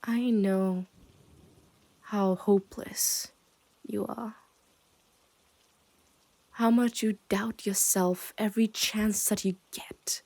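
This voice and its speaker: Slow, smug yandere kidnapper girl, acted by a woman. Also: medium pitch, steady tone, darkish tone. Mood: cold pity. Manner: slow, teasing, often dropping into whispers. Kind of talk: ASMR roleplay, intimate scripted monologue.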